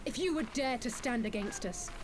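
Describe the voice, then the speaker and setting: A woman's voice: a little high, normal speed, very noisy, lightly acted. A woman playing a proud, resolute young swordswoman in a video game, speaking formally and dramatically. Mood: defiant. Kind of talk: video game cutscene acting.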